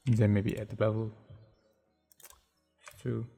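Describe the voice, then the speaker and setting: Man, low-key tutorial host thinking aloud; husky, mellow voice, soft music behind. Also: level tone, a little slow. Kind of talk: casual screen-recorded modeling tutorial. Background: soft background music.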